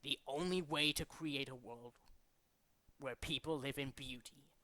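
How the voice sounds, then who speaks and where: Male actor as pompous game villain, zealous doomsday leader; forceful, sharp tone, close dry sound. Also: medium pitch, no room sound. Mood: grandiose, grim. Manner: deliberate, dramatic, pompous declaiming. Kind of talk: theatrical video game character demo reel.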